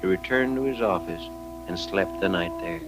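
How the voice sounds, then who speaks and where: Grave western narrator played by a man, American, low voice, scripted vintage radio storytelling with music underneath. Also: moderate pace, close to an everyday voice, low-quality recording. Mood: grave, suspenseful.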